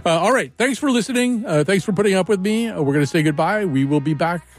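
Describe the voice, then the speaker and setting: A man's voice: sits high, expressive, fast talker, soft background music. A man hosting a call-in radio show, talking casually in a rambling, wry way and often restarting his sentences. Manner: rambling, self-interrupting, wry.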